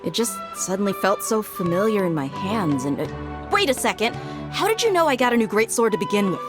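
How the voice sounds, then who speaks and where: A woman's voice: mid-range voice, big pitch swings, fairly quick, music in the background, lightly acted. A woman playing a confident, sceptical swordfighter in a video game, with a blunt, casual delivery. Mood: puzzled, suspicious. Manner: blunt, casual, self-assured. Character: confident, sceptical, plain-spoken female swordfighter. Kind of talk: video game cutscene acting.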